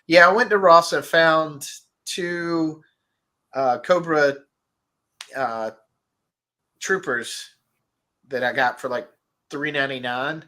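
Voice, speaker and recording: A man hosting a relaxed stream, chatting and reading viewer comments, his voice nasal and stuffed up. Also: fairly high pitch, slow, pauses often. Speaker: host. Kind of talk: casual livestream chat about toys.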